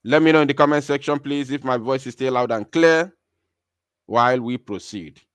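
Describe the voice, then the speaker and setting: A man with a Nigerian accent hosts a live political broadcast in a clear, resonant voice of medium pitch. He delivers his commentary emphatically, repeating phrases to drive them home. The sound is dry and close, with very little room in it. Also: normal speed, fairly bright tone, dry recording.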